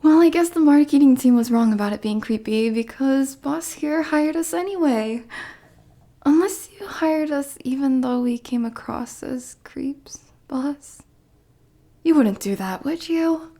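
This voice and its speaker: A woman's voice: high voice, a little slow, dark tone. A woman playing a dorky, lovestruck bodyguard in an ASMR roleplay, bashful and stammering, muttering asides as she clumsily hides her obsession. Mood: needling, hopeful.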